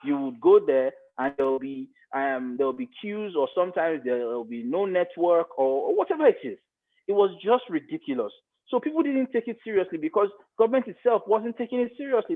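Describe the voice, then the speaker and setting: A man with a Nigerian accent in a podcast discussion, explaining earnestly and repeating points for emphasis. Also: sits high. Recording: muffled phone-like audio.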